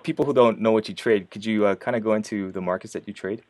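A man's voice: fairly low pitch, steady tone, fast talker, darkish tone. A man hosting a casual interview, asking informal questions in a hesitant way. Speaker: interviewer, host.

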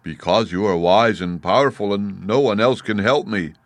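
A humble living scarecrow read by a man speaks in a fairly low, natural register. The manner is courteous, brave and earnest, as a storybook petitioner, in a dry close recording. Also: moderate pace, no room sound, lightly acted. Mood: humble, hopeful. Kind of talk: storybook character voice.

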